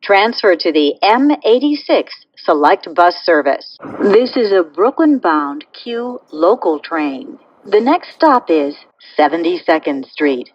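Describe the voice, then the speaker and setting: A woman making pre-recorded subway train announcements in a measured, formulaic way. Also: fairly low pitch, big pitch swings, muffled recording, a little hiss or hum. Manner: measured, clearly phrased, formulaic delivery.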